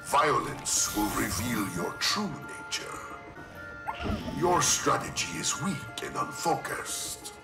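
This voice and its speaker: An actor voicing a hulking, menacing, arrogant masked villain in a video game, making grandiose threats. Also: male voice, medium pitch, very animated, gravelly, exaggerated voice. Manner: slow, menacing, grandiose declarations. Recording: loud music behind, echoing sound.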